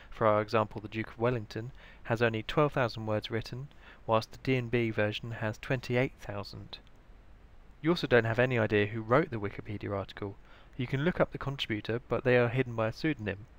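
A man's voice: mid-range voice, vocal fry. A British man giving scripted instructional narration as the presenter of a training tutorial. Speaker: information librarian, tutorial presenter.

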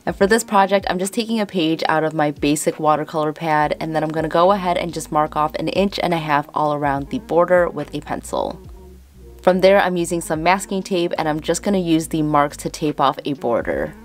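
A woman's voice: deep voice, a little fast, soft background music. A woman in her twenties with a Chicago accent, chatting casually as she explains a DIY craft tutorial step by step. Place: indoors, room with houseplants.

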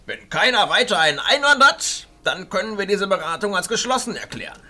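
A man's voice: sing-song, fairly quick, heavily acted. A man voicing a commanding, bearded old wizard archchancellor in a fantasy audio drama, speaking in an emphatic, declamatory way. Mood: stern, defiant. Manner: declamatory, emphatic, swinging between hushed and booming.

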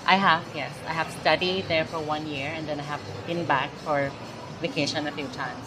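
A woman's voice: sits low, steady tone, moderate pace, music in the background, poor audio. A woman in her thirties with a Thai accent, chatting casually in an interview with lots of fillers and restarts, recorded on a lapel mic in a large indoor mall. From Thailand. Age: 30s. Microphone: clip-on lapel mic.

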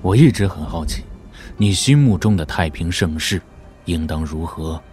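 Casting: middle-aged Confucian sword master, very low voice, grave, measured, skeptical; wuxia radio play with music under. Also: male voice, lively intonation, a little mellow. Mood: questioning. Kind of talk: Chinese wuxia audio drama acting.

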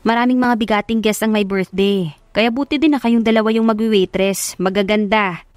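A woman's voice: medium pitch, steady tone, a little fast, no room sound, close to an everyday voice. A woman playing a caring but bossy old neighbourhood woman with a fretting, familiar manner in an audio love story. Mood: businesslike. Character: fussy, caring old neighbourhood woman who hires helpers.

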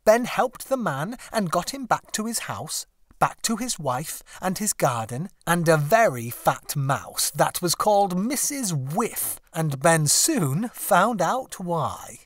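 A man narrating a children's story as an audiobook reader, with expressive, deliberate phrasing. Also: high voice, no echo.

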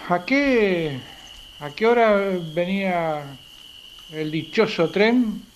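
Man playing grumpy high-voiced impatient traveller. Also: expressive, slow. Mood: curt, impatient. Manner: curt, exasperated, repeats himself. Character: grumpy, impatient male traveller, curt and complaining. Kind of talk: amateur radio play acting. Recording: faint hiss with night crickets. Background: crickets, night ambience.

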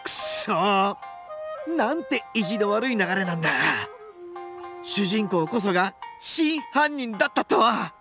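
Brash, high-pitched young oni boss. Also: male voice, lively intonation, a little slow, music in the background. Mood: anguished resolve. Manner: rough, swaggering, melodramatic speech. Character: brash, boastful, dim but big-hearted young male oni gang boss. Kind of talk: Japanese game voice acting.

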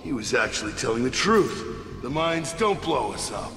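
An actor playing a rough, jumpy henchman in a video game, with an agitated, barking delivery. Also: medium pitch, very animated, normal speed, big-room sound, noisy background, lightly acted. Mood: urgent.

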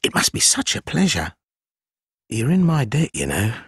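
Wildly swooping, husky, sly spider creature. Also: male voice, medium pitch, huge pitch swings, normal speed, no echo, clean studio sound, exaggerated voice. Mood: mocking, taunting. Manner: archaic, theatrical, mock-obsequious phrasing. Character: sly, mocking, fawning giant spider with human head. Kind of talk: dark fantasy video game character acting.

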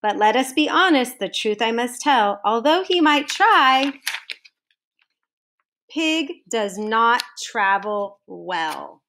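A woman reading a children's storybook aloud to students like a teacher, in a deliberate, sing-song manner with drawn-out phrase endings. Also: fairly high pitch, sing-song, fairly bright tone, slight background noise. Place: home kitchen, indoors.

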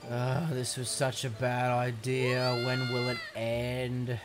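A young man commentating on a video game in a sarcastic, sweary way, with sudden excited outbursts. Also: mid-range voice, slow. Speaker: gaming commentator. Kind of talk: let's play commentary.

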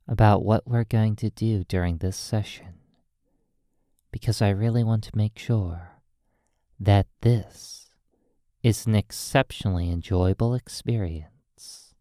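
An ASMR hypnosis roleplay by a sleep therapist speaking in a hushed, soothing manner, in drawn-out phrases with long pauses. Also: sits low, steady tone, no echo, clean studio sound.

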